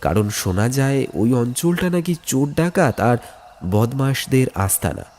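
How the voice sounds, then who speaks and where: A man's voice: mid-range voice, big pitch swings, normal speed. A man narrating a scripted ghost story in the first person, telling it in a dramatic, suspenseful way. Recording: music bed under the voice.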